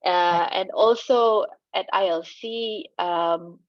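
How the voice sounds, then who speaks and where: A woman with an Indonesian accent, answering on a conference panel in a halting way, with many fillers and restarts and light laughs. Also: fairly low pitch, dry recording, lo-fi sound.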